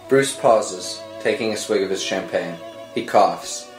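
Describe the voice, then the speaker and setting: A man's voice: sits low, a little slow. A man narrating scripted screenplay directions at a table read. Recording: roomy echo under dramatic score music.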